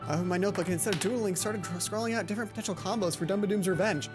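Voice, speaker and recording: A woman let's play host reading a visual novel aloud in an expressive storytelling way, with casual asides. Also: mid-range voice, rapid-fire. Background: game music.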